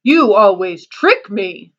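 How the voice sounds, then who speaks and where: A woman voicing a huge, slow-witted male giant who talks in a slow, heavy, plodding way. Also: mid-range voice, sing-song, a bit bright, highs cut off. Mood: sulky.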